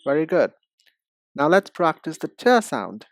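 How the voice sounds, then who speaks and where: Male language tutor for lesson narration. Slow, deliberate delivery, expressive mid-range pitch, addresses the learner directly. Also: no echo. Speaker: English pronunciation teacher. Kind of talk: instructional lesson.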